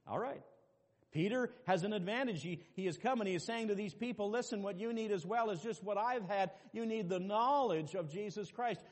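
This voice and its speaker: Emphatic preaching man, echoing church. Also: sits high. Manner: swings from quiet asides to loud emphatic preaching. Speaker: pastor. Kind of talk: sermon. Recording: long reverb with faint music behind.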